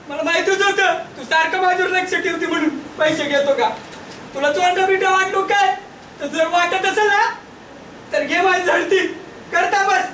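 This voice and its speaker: Cheeky rustic younger brother, acted by a woman, evasive, theatrical stage drama, distant miking, clear background noise. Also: very high voice, a bit bright. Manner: theatrical, feigning innocence, wheedling. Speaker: stage actor. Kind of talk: amateur one-act stage drama in rustic dialect. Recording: noisy echoey stage, picked up from far off.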